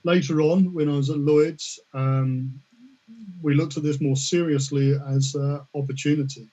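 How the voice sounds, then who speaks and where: A man's voice: a little high, steady tone, a little slow, mellow. A middle-aged man from the UK speaking as a panelist, polite and hesitant, with many fillers and repeated words. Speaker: panelist, risk management specialist. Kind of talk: online panel discussion. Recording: dull lo-fi audio.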